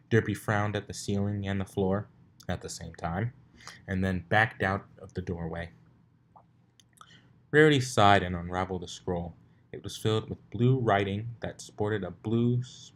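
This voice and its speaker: A man narrating a scripted story as an amateur reader, informal and given to wry asides. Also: low-pitched. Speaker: amateur fan fiction reader.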